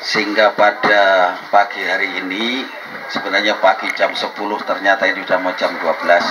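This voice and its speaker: A man giving a formal speech with a religious opening at a community gathering, measured and lightly joking. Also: low voice, level tone. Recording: noisy event recording.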